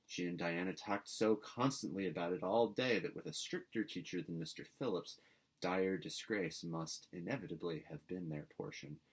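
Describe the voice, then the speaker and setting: A man's voice: low-pitched, normal speed, slightly rough. Man narrating a classic storybook. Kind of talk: scripted read-aloud narration.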